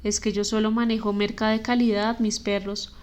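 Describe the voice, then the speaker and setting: Boisterous homeless man, jokey and sleepy, even pitch, as a female narrator puts him on for an audiobook. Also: normal speed, clean tone, close to an everyday voice.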